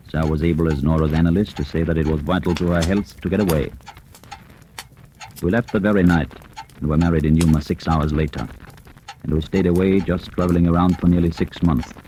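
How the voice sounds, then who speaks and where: An actor playing a deep-voiced scheming fake analyst. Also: male voice, warm tone, a little hiss or hum, natural voice, rough recording. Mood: cold. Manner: smooth, calculating, coolly confiding narration. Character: scheming male con man posing as analyst. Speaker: narrator and fake psychoanalyst. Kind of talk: 1940s radio drama acting. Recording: old radio broadcast with faint music.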